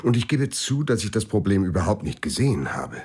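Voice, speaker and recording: An actor playing a candid, thoughtful companion to a detective in a German radio play, reasoning frankly step by step. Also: male voice, lowish voice, big pitch swings, brisk, darkish tone, husky. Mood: candid, reflective.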